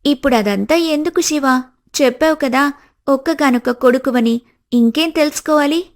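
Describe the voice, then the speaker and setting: An actress plays a young woman in a cartoon story, coy and materialistic, with a cutting tongue. Her voice is clearly high. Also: normal speed. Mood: curious, eager. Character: coy, teasing, money-minded, scornful young woman. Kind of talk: scripted cartoon story dialogue.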